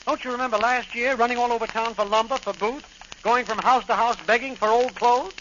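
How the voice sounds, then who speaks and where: An actor playing a dry, teasing suburban neighbor and buddy in an old radio sitcom, with a wry manner. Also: male voice, very high-pitched, fairly even intonation, fairly quick, fairly bright tone. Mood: complaining. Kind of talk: 1940s radio sitcom acting. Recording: muffled, noisy old radio recording.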